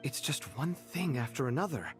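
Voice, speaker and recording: A man voicing an exasperated desert traveller in an anime game, talking in a casual, expressive way. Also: mid-range voice, normal speed, over music, natural voice.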